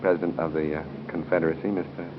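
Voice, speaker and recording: Low-voiced 1930s American radio quiz host, man. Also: little pitch movement, fast talker. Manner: genial and joking, chuckles between questions. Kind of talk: 1930s live radio audience quiz hosting, ad-libbed banter. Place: hotel room at a club luncheon. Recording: muffled, noisy 1930s radio broadcast recording.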